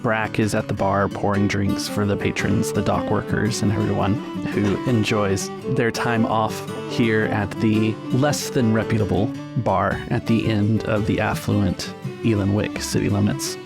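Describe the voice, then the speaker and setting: A man with a lowish, gravelly voice narrates a fantasy tabletop scene as game master. He sets things out in measured, improvised phrases while plucked guitar plays clearly behind him. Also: flat delivery. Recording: acoustic guitar music behind voice. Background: plucked guitar music.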